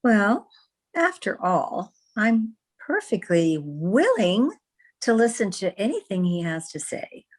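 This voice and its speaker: Woman as slow-speaking, lively romantic leading lady. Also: mid-range voice. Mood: guarded, intrigued. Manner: measured, tender, ladylike. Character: poised, romantic newspaperwoman, smitten but proper. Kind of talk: old-time radio romance acting. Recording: video-call radio play recording.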